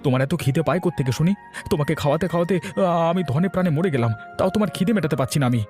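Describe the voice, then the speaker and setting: Man acting fed-up henpecked husband, talking fast. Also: a little high, darkish tone, soft background music, natural voice. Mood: exasperated. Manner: complaining, muttering.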